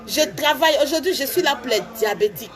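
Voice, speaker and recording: A woman, talking to camera from an office with a cold, preaching and laughing in loud, lively street talk. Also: high-pitched, quick pace, faint music behind. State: has a cold. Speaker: vlogger.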